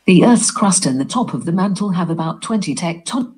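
A woman's voice: fairly low pitch, animated, brisk, darkish tone. A text-to-speech voice of a woman reading text aloud, played through speakers and picked up from a distance. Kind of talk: synthetic read-aloud.

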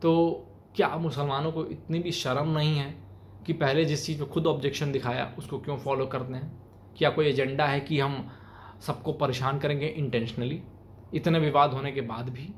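A man's voice: on the high side, steady tone, normal speed. A young man with an Indian accent giving emphatic, colloquial opinion commentary to camera in a small room. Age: young adult. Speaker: video commentator, channel host. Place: small plain room indoors. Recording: clearly noisy room recording.

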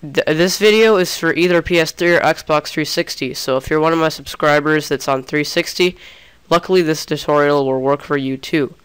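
Young man, sick, casual tutorial talk, very clear smooth voice, slightly high. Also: normal speed, hardly any pauses, fairly bright tone. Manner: casual, chatty, restarts often. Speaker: gaming tutorial creator. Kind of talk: screen-recorded tutorial.

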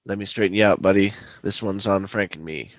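A man playing a stern, clipped, deadpan police detective in a parody of a 1950s radio drama. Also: sits low, fairly quick, dry recording, highs cut off, natural voice.

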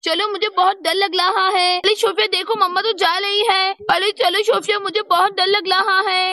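A woman's voice: very high voice, fairly even intonation, moderate pace, pushed and bright, no room sound, clean studio sound, heavily acted. A woman voicing an eager, chatty small child in a children's school story, in an urging, exclamatory manner. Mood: nervous urging.

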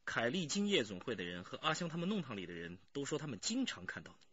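An actor playing a probing, sceptical police detective, pressing a witness in a radio crime drama. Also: male voice, mid-range voice, sing-song, a bit bright, slightly rough, dry recording, highs cut off. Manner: brisk, pressing.

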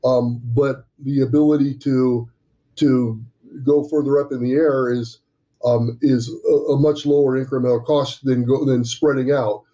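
A man's voice: mid-range voice, fairly even intonation, a little slow, warm tone. A man in his sixties talking conversationally as a guest in a business interview over a video call. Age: 60s. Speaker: business development director, podcast guest. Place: indoor office room, on a video call. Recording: dull, lo-fi video call audio.

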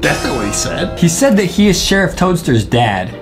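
A young American man co-hosting a gaming video with excitable, playful, kid-friendly commentary. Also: fairly high pitch, normal speed. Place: small indoor studio, seated beside co-host. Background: game music.

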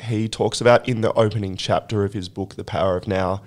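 A hoarse man who has lost his voice, hosting a recovery podcast and musing in a halting, reflective way. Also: lowish voice, steady tone. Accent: British. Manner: halting, with many restarts. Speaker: podcast host. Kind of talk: reflective casual chat.

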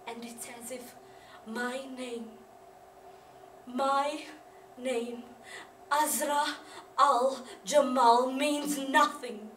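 An actress playing a wronged, anguished young woman who speaks after her own killing, in a solemn monologue of deliberate, halting phrases. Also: on the high side, sharp tone, pitched below the performer's own voice. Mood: bitter, grieving. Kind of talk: solemn dramatic stage monologue. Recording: roomy, distant mic, faint noise.

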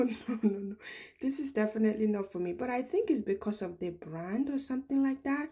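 A woman's voice: mid-range voice, vocal fry, mellow. A woman vlogger chatting casually as she walks through a large store, with frequent ums and restarts. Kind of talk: casual shop-with-me narration. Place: large home improvement store, indoors.

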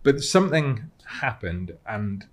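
A British man in his thirties speaks in a lowish voice on a studio mic. He tells personal stories conversationally, reflecting as he goes and often repeating a word before he carries on. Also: sing-song, a little slow. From Cardiff, Wales. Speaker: podcast guest, content creator and model. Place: podcast studio. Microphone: close studio mic on desk stand.

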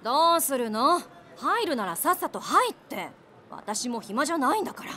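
A brash, bossy snack-bar mama. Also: female voice, high-pitched, big pitch swings, normal speed, exaggerated voice. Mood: impatient. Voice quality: brusque, sharp-tongued. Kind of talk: audio drama acting.

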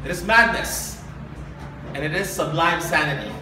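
A young man performing spoken word poetry live, earnest and deliberate, with dramatic pauses. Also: fairly high pitch. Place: small live open-mic event. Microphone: handheld and stand microphone, heard from a distant camera. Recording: distant, very echoey, noisy live recording.

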